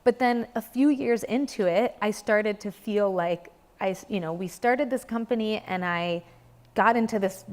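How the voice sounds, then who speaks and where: A woman's voice: medium pitch, normal speed, darkish tone. A young adult woman, a dance company director, speaking earnestly in an interview and searching for her words. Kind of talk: reflective interview talk. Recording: reverberant hall.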